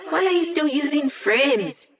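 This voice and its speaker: A woman's voice: high-pitched, animated, measured pace, far from the mic. An actress voicing a sassy, cocky young female hacker in a video game, with wry, teasing and sarcastic delivery. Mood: playful. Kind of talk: video game character voice lines.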